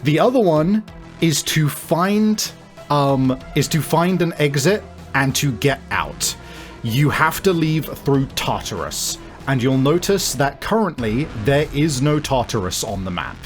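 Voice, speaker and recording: Man game-mastering over clear background music. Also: a little high, big pitch swings, unhurried. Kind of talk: improvised narration of a tabletop game.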